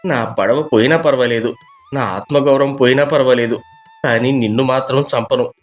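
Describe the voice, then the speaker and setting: Animated fable role: man as struggling fisherman father, earnest, heartfelt and pleading, medium pitch, light music bed. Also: normal speed, close to an everyday voice. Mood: resigned, noble. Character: poor, desperate, kind-hearted fisherman, devoted father. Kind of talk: animated moral story dialogue.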